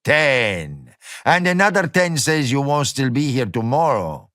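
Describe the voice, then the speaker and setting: A man voicing a fat, blustering diner owner, mocking and loud. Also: medium pitch, big pitch swings, unhurried, no echo, studio quality.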